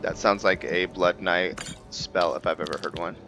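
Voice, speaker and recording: A man with a low voice hosts a gaming video over clear background music. He talks casually through his roleplay, rambling and thinking aloud as he addresses his viewers. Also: fairly even intonation, normal speed. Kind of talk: casual roleplay game commentary.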